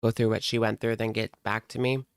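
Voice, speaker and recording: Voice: medium pitch, level tone, fairly quick, clear, resonant tone, no room sound, studio quality. A streamer giving casual live commentary in a blunt, sarcastic, conversational way. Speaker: reaction streamer.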